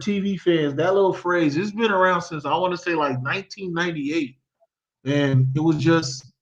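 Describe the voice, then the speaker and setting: A middle-aged man hosting a casual sports talk, his voice sitting high for a man. He tells long stories in an animated, storytelling way and quizzes his guest. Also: highs cut off. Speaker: podcast host.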